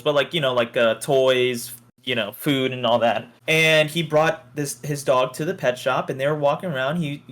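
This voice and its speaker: A man's voice: mid-range voice, fairly bright tone. A man chatting casually on a podcast and telling everyday stories, with some casual swearing. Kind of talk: casual podcast chat and storytelling.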